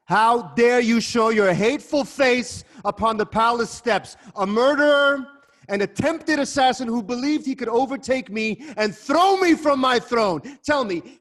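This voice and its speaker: A man's voice: extremely high pitch, a bit bright, full character voice. An actor playing a proud, hot-tempered king in a dramatic reading over a video call, scornful and commanding. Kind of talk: Zoom dramatic reading of a Greek tragedy.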